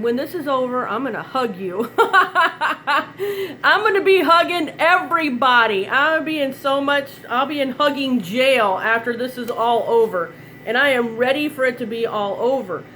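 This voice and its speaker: A woman's voice: high voice, fairly bright tone, slight background noise, low-quality recording. A middle-aged woman with a slight head cold, rambling chattily through a live online product demo from her kitchen as a direct-sales consultant. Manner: chatty and rambling, chuckles often.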